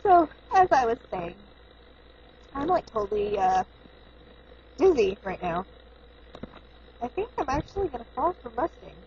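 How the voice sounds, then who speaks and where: A woman's voice: fairly high pitch, sing-song, measured pace, pauses often, darkish tone, slight background noise. A woman voicing a goofy, deformed boy plushie in a home video, giggling and hamming it up, recorded on a home camcorder with dull, lo-fi audio. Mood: silly. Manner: giggling, playful and hammy. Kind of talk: home video play-acting.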